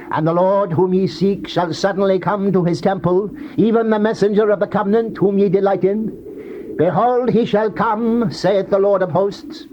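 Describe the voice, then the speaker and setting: An actor playing a solemn Old Testament prophet who rebukes and warns, in an earnest, declamatory dramatized scripture reading. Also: male voice, high-pitched. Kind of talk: dramatized scripture reading, classical stage delivery. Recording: muffled, noisy old cassette tape.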